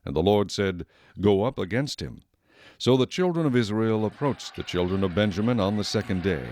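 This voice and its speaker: A man giving solemn, measured scripted narration for a dramatized Bible recording. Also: on the low side, lively intonation, background noise.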